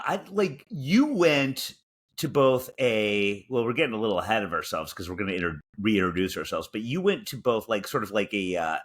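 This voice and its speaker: A man's voice: mid-range voice, a bit bright. A man with a Chicago accent and a nasal voice, co-hosting a podcast in dry, self-mocking, rambling banter. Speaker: podcast co-host. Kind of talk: casual banter.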